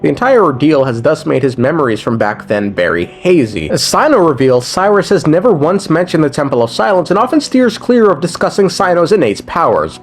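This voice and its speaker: A man's voice: fairly high pitch, big pitch swings, fairly quick, few pauses, soft background music. A man narrating a video game story recap in a casual, scripted way, with slangy, irreverent asides.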